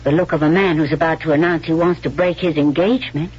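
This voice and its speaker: A woman's voice: low-pitched, fairly even intonation, fast talker, slightly rough. An actress in a 1970s radio drama playing a poised, affectionate young lady with a dramatic, questioning manner. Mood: uneasy, probing. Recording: dull vintage radio broadcast recording.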